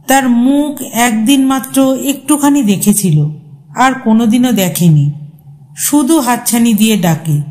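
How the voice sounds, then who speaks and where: Woman narrator as anxious tearful mother, pleading, fairly high pitch, slow delivery, horror audio story. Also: lively intonation, a little mellow. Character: worried, tearful mother of a haunted son.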